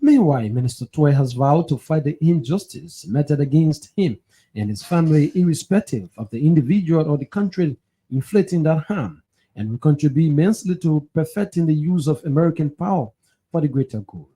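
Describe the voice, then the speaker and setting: A man's voice: fairly high pitch, expressive, a little fast, warm tone, slightly rough. A man reading scripted news narration as a newsreader. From Liberia.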